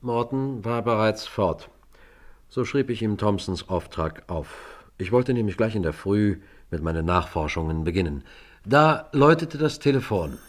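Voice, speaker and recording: Guy acting as a low-voiced police detective in a radio crime play, measured, probing. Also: animated, natural voice. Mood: sober, reflective. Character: male police detective narrating a murder inquiry. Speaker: detective and narrator. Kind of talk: radio play acting and first-person narration.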